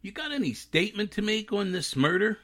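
A man's voice: high voice, very animated, a little fast, a bit bright, heavily acted. A man playing a pushy, wry newspaper reporter with a punchy delivery in a 1940s-style radio drama. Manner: punchy, insistent.

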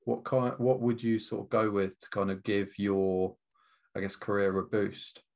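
A man of about thirty hosts a casual podcast chat in a laid-back way. He swears offhandedly and tosses in tag questions. His voice sits slightly low. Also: fairly even intonation, moderate pace, creaky, darkish tone. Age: 30s. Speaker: podcast host.

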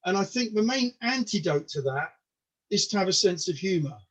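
A man's voice: high-pitched, animated, normal speed, a bit bright. A man in his mid-sixties from the UK whose first language is Polish, chatting casually as an interview guest in a self-deprecating way, often stammering over repeated words. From United Kingdom. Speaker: podcast guest, comedian.

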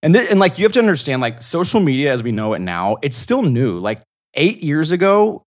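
A man's voice: fairly high pitch, very animated, fairly quick. A man, a podcast guest and comedian, telling stories in a loose, rambling way full of "like". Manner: rambling, lots of "like" fillers. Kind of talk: casual storytelling interview.

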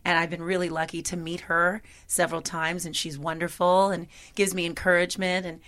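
A woman singer in a conversational interview, with a gravelly voice and a chatty manner full of "you know". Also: lowish voice, level tone, fairly quick, fairly bright tone.

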